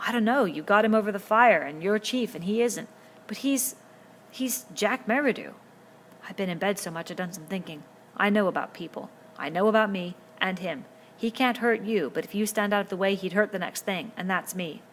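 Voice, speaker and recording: A fretful, plump schoolboy with glasses, as a woman reader puts him on, pleading in a thin, whining tone. His worried talk keeps climbing toward a squeak. Quiet room noise sits faintly behind him. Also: moderate pace, natural voice. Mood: anxious. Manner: plaintive, whining, rises to a squeak. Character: fearful fat schoolboy with glasses, anxious.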